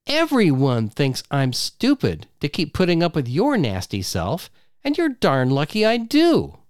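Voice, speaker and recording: A man voicing a shrill, furious young wife and mother who screeches accusations at her husband. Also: wildly swooping pitch, measured pace, a little mellow, no echo, clean studio sound, exaggerated voice.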